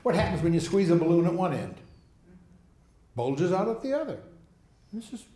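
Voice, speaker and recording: An older man delivers a loose, rambling lecture on policy, laughing softly at his own stories. His voice sits a little high for a man. The sound is roomy, like a hall. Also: big pitch swings, normal speed. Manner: rambling, chuckling asides. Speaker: speaker on foreign policy. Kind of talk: lecture answering audience questions.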